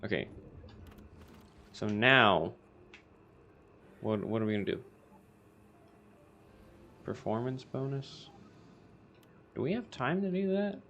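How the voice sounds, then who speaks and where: A man's voice: mid-range voice, takes it slowly, long pauses, sharp tone, dull audio. A man with a scratchy voice, rambling through casual gameplay commentary as the host. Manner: rambling, self-interrupting. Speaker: gaming video host.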